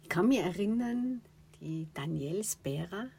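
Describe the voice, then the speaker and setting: A woman, slightly hoarse from a cold, chatting as she asks an interviewee questions. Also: lowish voice, expressive, unhurried, dark tone, a little hiss or hum. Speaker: interviewer, host. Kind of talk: casual interview.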